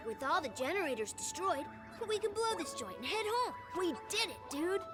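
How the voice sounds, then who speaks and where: A woman's voice: very high voice, sing-song, normal speed. An actress voicing an eager, clever young boy fox sidekick, friendly and earnest, in a game cutscene. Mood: excited. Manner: bright-eyed, friendly, earnest. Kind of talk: animated video game cutscene acting. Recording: loud music under the voice.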